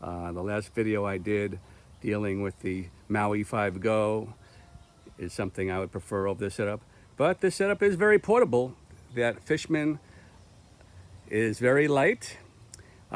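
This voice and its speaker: A man's voice: lowish voice, expressive, moderate pace. A man giving a casual, plainspoken gear review vlog outdoors in a park, explaining things as he goes.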